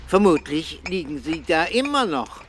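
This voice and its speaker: A man's voice: on the high side, big pitch swings, normal speed, fairly bright tone, a little hiss or hum. An actor in a radio drama playing a polite, earnest postman who speaks deferentially. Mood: matter-of-fact. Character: polite, apologetic male postman, matter-of-fact.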